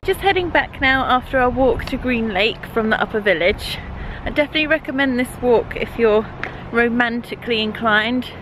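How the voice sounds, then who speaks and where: A woman's voice: a little high, lively intonation, normal speed, few pauses, background noise. A young woman in her twenties chatting casually to a handheld camera, giggling often. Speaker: travel vlogger. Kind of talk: casual travel vlog. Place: outdoors on a trail and in a cafe. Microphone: handheld camera at arm's length.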